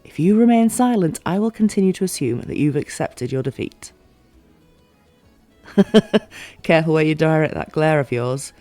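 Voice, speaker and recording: A playful, teasing fantasy ruler voiced by a woman in an immersive audio roleplay. Her voice sits fairly low for a woman and her phrasing is formal yet expressive, with faint music playing behind her. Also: moderate pace, long pauses, dry recording.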